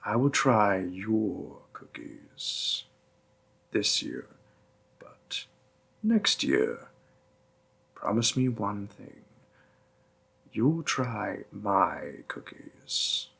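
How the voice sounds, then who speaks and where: A man voicing a gentle, doting demon boyfriend in an intimate roleplay audio, with formal, courtly, coaxing phrasing and a breathy, half-whispered delivery. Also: fairly low pitch, sing-song, extremely slow, pauses often, exaggerated voice. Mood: teasing. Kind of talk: roleplay audio, intimate second-person acting.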